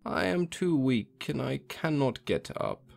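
A man voicing a weak old grandmother, speaking slowly in a high, quavering voice. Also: expressive, creaky. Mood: feeble. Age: older.

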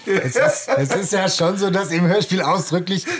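A man's voice: sits high, animated, normal speed, noisy background. A man co-hosting a podcast, talking through a story in a casual, conversational way and steering the discussion. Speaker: podcast host. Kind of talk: casual discussion of an audio drama.

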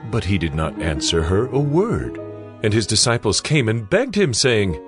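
Casting: male narrator for dramatized scripture, very low register, expressive melody. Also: normal speed, over music.

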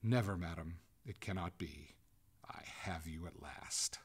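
A man voicing a courteous but coolly merciless young gentleman in a storybook, formal and firm in manner. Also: low-pitched, a little slow, slightly rough. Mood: coolly triumphant. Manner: formal, suavely polite, firm.

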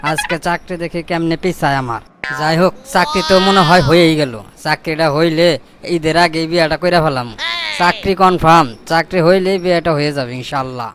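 A man's voice: on the high side, brisk, music in the background, natural voice. A man voicing a cocky, hot-tempered young brother-in-law in a comic cartoon, with colloquial Bangladeshi speech and a cheeky, grumbling manner. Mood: hopeful, muttering.

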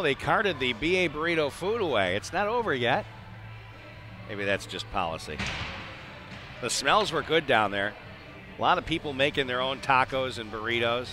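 A man's voice: medium pitch, pushed and bright. A man doing live play-by-play hockey commentary in clipped phrases with chatty asides. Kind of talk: live radio-style sports commentary. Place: indoor ice arena.

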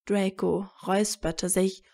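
A woman reading scripted romantic fiction as a narrator in soft, measured storytelling. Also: medium pitch, fairly even intonation, creaky.

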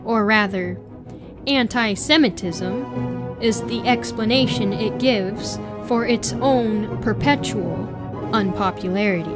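A woman reading an article aloud in a measured, deliberate way with pauses. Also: mid-range voice, over loud music. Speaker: reader.

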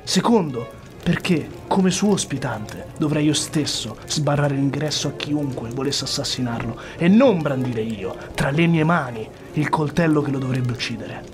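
An actor playing a tormented, ambitious noble warrior in an Italian radio drama, solemn and theatrical. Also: male voice, medium pitch, animated, moderate pace, few pauses, music in the background, lightly acted. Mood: brooding, conflicted.

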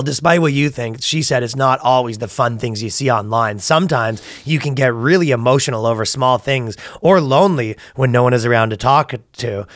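A man's voice: mid-range voice, no echo, clean studio sound. A man in his thirties hosting a comedy podcast, riffing casually and profanely and acting out imagined dialogue. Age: 30s. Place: small podcast studio. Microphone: close studio mic on boom arm.

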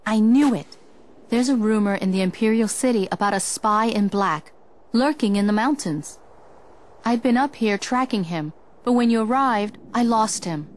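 A woman's voice: a little high, moderate pace, lightly acted. A woman voicing a brave, earnest young warrior woman in a video game cutscene. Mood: earnest, determined.

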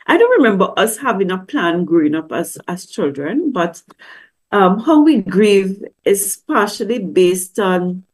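A woman's voice: medium pitch, big pitch swings, measured pace, husky. Middle-aged woman, counselor teaching over a webcam; conversational, lots of questions. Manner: conversational, asks many questions, acts out quoted voices. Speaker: grief counselor teaching at a church service. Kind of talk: teaching talk with slides. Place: indoor room. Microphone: webcam on a video call.

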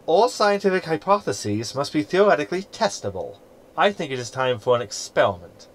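An actor playing a scheming, sarcastic hologram in a sci-fi comedy audio drama, with an arch, wordy manner. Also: male voice, fairly high pitch, big pitch swings, fairly quick. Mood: smug. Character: scheming, sarcastic male hologram crewman, self-satisfied. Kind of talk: science fiction comedy audio drama acting.

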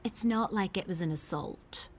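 A woman's voice: fairly low pitch, moderate pace, slightly rough. An actress playing a worried, conciliatory woman in a radio soap, earnest and pleading. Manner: earnest, expressive. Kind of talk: radio soap opera acting.

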